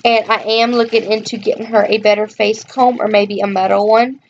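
A woman's voice: medium pitch, level tone, normal speed. Chatty young woman on a lo-fi webcam. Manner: chatty, rambling, lots of ums. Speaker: vlogger. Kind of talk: casual show-and-tell vlog. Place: bedroom, indoors. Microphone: webcam at close range. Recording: dull lo-fi webcam audio with faint hiss.

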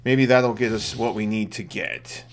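A man's voice: fairly low pitch, measured pace. A middle-aged man giving casual live commentary as he plays a game. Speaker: game streamer. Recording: game music behind the voice. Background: game music.

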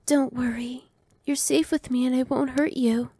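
A young woman voicing a gentle female vampire who speaks softly and slowly, sometimes whispering. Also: high-pitched, steady tone, breathy edge, no echo. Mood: soothing. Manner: soft, slow and reassuring, dropping to whispers. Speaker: voice actress. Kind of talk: ASMR roleplay.

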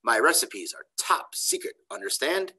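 A man's voice: medium pitch, huge pitch swings, moderate pace, bright, forceful tone, husky, full character voice. A man voicing a bossy, secretive mouse chef in a children's storybook, giving commanding orders. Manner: commanding, insistent.

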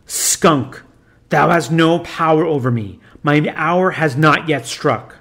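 Demon in an audiobook: a man voicing a loud, growling fiend, slow and defiant, voice sits fairly high. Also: creaky, exaggerated voice. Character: snarling defiant male demon possessing a boy. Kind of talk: audiobook reading.

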